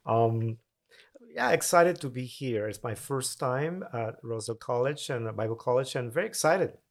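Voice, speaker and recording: A man's voice: medium pitch, lively intonation, moderate pace. A man from Honduras, explaining his ideas earnestly in a podcast interview. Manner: earnest, explanatory, occasional restarts. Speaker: mission agency president.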